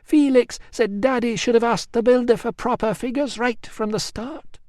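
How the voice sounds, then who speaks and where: A woman's voice: a little high, moderate pace, a little mellow, no room sound, studio quality, voice pushed up, lightly acted. A man reading an audiobook, voicing a sad, hesitant middle-aged woman who is a brewer's daughter.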